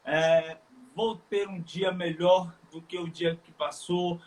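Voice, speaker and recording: Earnest high-voiced Bahian man. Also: fairly even intonation, darkish tone. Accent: Bahian Brazilian Portuguese. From Salvador, Bahia, Brazil. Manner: earnest, halting with frequent fillers. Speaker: live stream guest. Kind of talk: casual chat.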